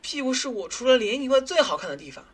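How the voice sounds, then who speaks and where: Woman voicing cheeky, animated young man. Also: sits high, fast, breathy edge, exaggerated voice. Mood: playful. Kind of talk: audio drama dialogue.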